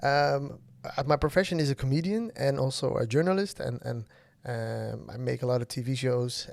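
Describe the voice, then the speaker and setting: A man in his thirties, from Ethiopia and a native Somali speaker, hosting a casual solo podcast and speaking haltingly with many fillers. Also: mid-range voice, vocal fry, darkish tone, dry recording. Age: 30s. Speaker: podcast host.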